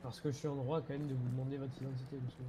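A man playing a polite but firm police officer in an online roleplay game, speaking formally and insistently over a quiet voice chat. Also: mid-range voice, flat delivery, very fast, a crowd in the background, close to an everyday voice.

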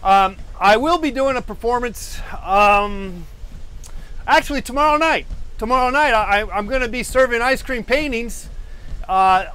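A man's voice: extremely high pitch, a little slow, sharp tone, clear, resonant tone, low-quality recording. A man, hosting a live painting stream from a cluttered indoor studio, talking on a lapel mic while walking on a treadmill, meandering and self-deprecating, with many fillers and restarts. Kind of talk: rambling live-stream chat.

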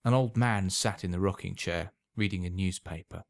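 A man's voice: low-pitched, moderate pace, gravelly, no room sound, clean studio sound. A man reading a scripted short story aloud as a storyteller.

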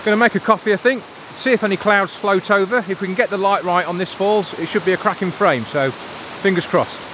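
Chatty man, slightly high voice, rushing waterfall noise behind, handheld camera mic outdoors. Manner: chatty, upbeat, colloquial. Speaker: landscape photographer vlogger. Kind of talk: casual outdoor vlog talk to camera. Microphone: on-camera mic, handheld selfie framing. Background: waterfall and stream.

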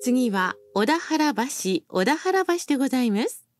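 A woman's voice: high voice, big pitch swings, a little slow, very noisy. A woman reading scripted bus announcements as a recorded announcer, in a formal, polite and carefully enunciated manner.

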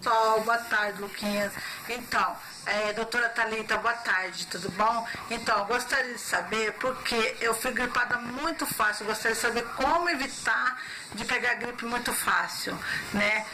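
A woman from Brazil records an earnest question on her phone, held close to her face. Her voice sits a little high and comes with a clear hiss. She tends to repeat herself. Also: moderate pace. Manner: earnest, repetitive. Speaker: viewer sending a question. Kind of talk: recorded video message. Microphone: phone at close range. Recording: noisy phone video.

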